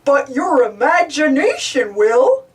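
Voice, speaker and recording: A woman voicing a friendly big male dinosaur in a storybook, gently explaining. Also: high-pitched, very animated, takes it slowly, distant mic, pitched above the performer's own voice, full character voice. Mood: gentle.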